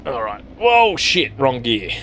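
A man's voice: mid-range voice, big pitch swings, takes it slowly, a bit bright, slight background noise. A man with an Australian accent chatting casually to viewers while playing a farming game, rambling and swearing freely. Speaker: gaming streamer. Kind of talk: casual let's-play commentary while driving.

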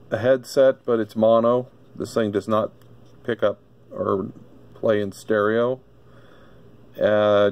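Male gadget reviewer, casual and hesitant, unhurried delivery, gravelly edge. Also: fairly low pitch, darkish tone. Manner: halting, thinking aloud. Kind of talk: casual unscripted product demonstration. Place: indoor electronics workbench.

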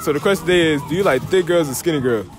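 A man's voice: a little high, rapid-fire, over music. A man in his late teens chatting and joking in slang as he hosts casual street interviews in a mall. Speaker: vlog host and street interviewer. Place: shopping mall and stores.

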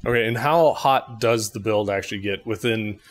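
A young man asking casual interview questions on location, phrasing them in a tentative, halting way. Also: fairly low pitch. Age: young adult. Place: indoor 3D printing workshop. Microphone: handheld interview mic. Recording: noisy machine-room ambience.

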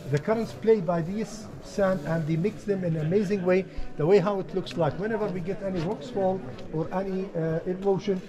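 A man's voice: high-pitched, fairly even intonation, brisk, few pauses, music in the background, poor audio. A man guiding tourists, explaining patiently in simple English. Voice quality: non-native English, simple phrasing. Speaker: tour guide. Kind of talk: guided tour explanation. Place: outdoor desert canyon with tourists. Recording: busy crowd around outdoors.

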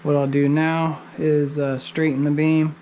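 A man's voice: a little high, little pitch movement, measured pace, warm tone. A young man casually walking through a basement repair step by step as he works, filmed on a head-mounted camera in an unfinished basement. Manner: plain-spoken, explains steps as he works. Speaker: DIY home repair host. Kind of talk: casual how-to narration while working. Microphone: head-mounted action camera. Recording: noisy basement work site.

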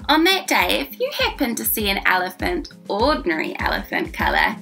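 A woman's voice: medium pitch, wildly swooping pitch, a little fast, vocal fry, pushed and bright, soft background music. A woman in her twenties reads a children's picture book aloud expressively, enunciating carefully for young listeners. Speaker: children's story reader. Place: small furnished room indoors.

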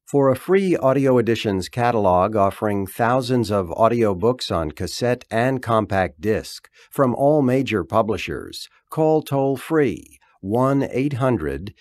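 A man reading a scripted publisher's announcement in a formal, measured way. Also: mid-range voice, animated, darkish tone, dry recording, studio quality. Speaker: audiobook credits announcer.

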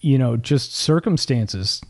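A man's voice: mid-range voice, expressive, takes it slowly. A man hosting a podcast interview who asks friendly, rambling questions. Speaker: podcast host. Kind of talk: casual interview conversation.

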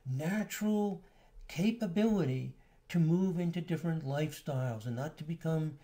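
A man giving a relaxed, explanatory lecture over slides, now and then pausing on filler words. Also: fairly high pitch. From United States. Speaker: astrology lecturer.

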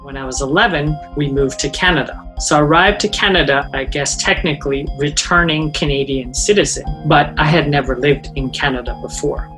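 A man from Japan speaks with an earnest candor. His voice is a touch higher than most men's. Faint music runs underneath. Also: a little fast, few pauses, pushed and bright. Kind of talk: documentary interview.

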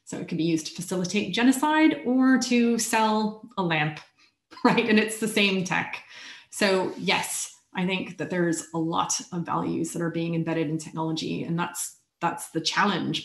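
A woman speaking as a keynote guest in a relaxed video-call discussion, animated and wry, with light laughs between points. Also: on the low side, moderate pace. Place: home room. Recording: roomy video-call audio.

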